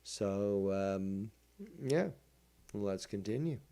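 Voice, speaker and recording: A man's voice: fairly low pitch, expressive, extremely slow, warm tone. A man hosting a livestream, talking in a combative, mocking and profane way. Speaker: streamer host. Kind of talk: livestream commentary.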